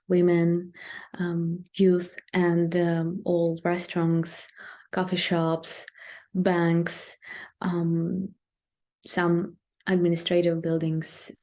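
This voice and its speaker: A woman from Ukraine, a native Ukrainian speaker with a Ukrainian accent, answering interview questions hesitantly with many ums and pauses. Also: lowish voice, warm tone. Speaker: guest, Ukrainian language teacher.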